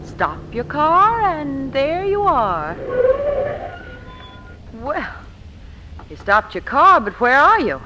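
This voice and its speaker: An actress playing a sophisticated, witty companion to an adventurer, bantering playfully in 1940s radio style on a noisy recording. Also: female voice, sits high, big pitch swings, slow pace, long pauses, heavily acted.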